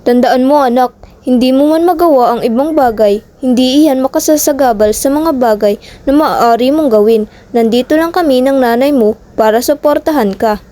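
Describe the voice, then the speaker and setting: Gentle storybook father, soft reassuring manner, read by a woman. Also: extremely high pitch, normal speed. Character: gentle caring father of a sick boy.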